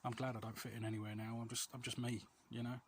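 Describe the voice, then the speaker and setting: A man with a mid-pitched voice records a casual walking vlog outdoors, with clear noise and clicks around him. He talks in a hesitant, rambling way, confiding in listeners and putting himself down a little. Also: flat delivery, fast talker. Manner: hesitant, rambling, self-deprecating. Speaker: vlog host. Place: outdoors, quiet street and alleys.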